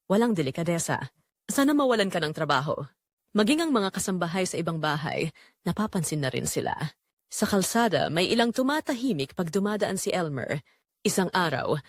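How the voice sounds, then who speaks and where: A woman's voice: medium pitch, no room sound, clean studio sound. A woman with a Filipino accent narrating a scripted dramatic story.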